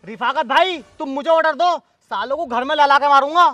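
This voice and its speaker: Man playing sharp, very high-voiced village henchman. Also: a little fast, dry recording. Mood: hot-headed, boastful. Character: hot-headed, boastful henchman of a village candidate. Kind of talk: Hindi village comedy sketch acting.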